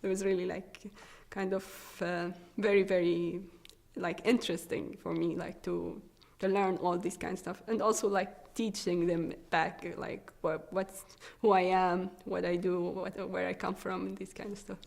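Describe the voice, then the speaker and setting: A young woman from Syria with a Syrian Arabic accent and Arabic as her first language, a research assistant answering interview questions in halting English with many fillers and restarts. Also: fairly low pitch, level tone, gravelly, darkish tone. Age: young adult.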